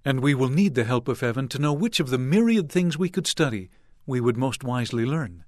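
An older man and church leader delivering a sermon to young people in a measured, earnest way with deliberate phrasing. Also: medium pitch, lively intonation, no echo, studio quality.

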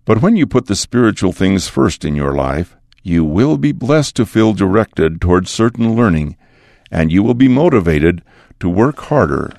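Older male church leader, low gravelly voice, deliberate earnest sermon delivery. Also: big pitch swings, a little mellow, dry recording, studio quality. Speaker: church leader addressing students. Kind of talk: devotional address.

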